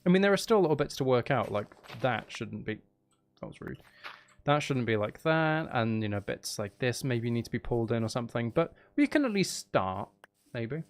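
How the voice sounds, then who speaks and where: A man's voice: mid-range voice, very animated, normal speed. A man chatting casually as he hosts a live game stream, playfully mock-dramatic and self-deprecating with his viewers. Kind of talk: casual chatty gaming livestream talk with viewers.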